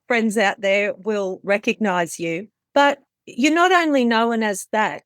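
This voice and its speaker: Woman podcast host, chatty and admiring, mid pitch, dry sound. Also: dry recording. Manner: warm, admiring, chatty questions with hesitations. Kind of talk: interview host.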